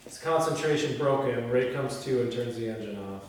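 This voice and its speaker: A man's voice: mid-range voice, brisk. A man reading screenplay scene headings and stage directions aloud as the narrator of a read-through. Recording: echoey hall from far off, faint noise.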